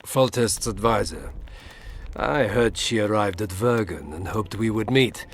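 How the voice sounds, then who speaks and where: An actor playing a courteous, scheming middle-aged Imperial ambassador in a fantasy game, formal and politely menacing. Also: male voice, lowish voice, unhurried, slight background noise. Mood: coolly courteous. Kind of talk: scripted fantasy game dialogue.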